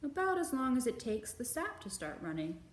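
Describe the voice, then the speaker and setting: A calm, patient picture-book father, read by a woman, measured and reassuring. The sound is roomy with faint noise behind. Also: high voice, voice pushed up. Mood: gently teasing.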